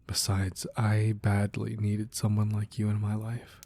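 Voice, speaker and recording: Guy acting as a protective crime boss boyfriend, low dark relaxed voice, soft and earnest, romantic asmr roleplay. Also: unhurried, creaky. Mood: tender, reflective. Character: devoted, protective crime boss boyfriend confessing his feelings. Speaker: audio roleplay performer. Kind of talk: romantic ASMR roleplay, intimate scripted monologue.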